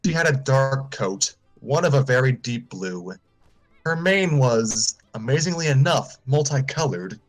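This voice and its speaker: A man's voice: mid-range voice, lively intonation, a little slow, soft background music. A man narrating a story aloud informally, sometimes stumbling and repeating phrases. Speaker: story reader on a livestream.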